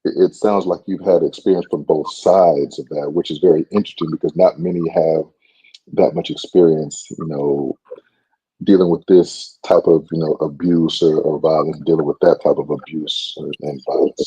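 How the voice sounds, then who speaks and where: Very deep-voiced halting male moderator. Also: steady tone, mellow, slightly rough, no echo, rough recording. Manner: halting, stammering, affirming. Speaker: panel moderator. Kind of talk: panel discussion.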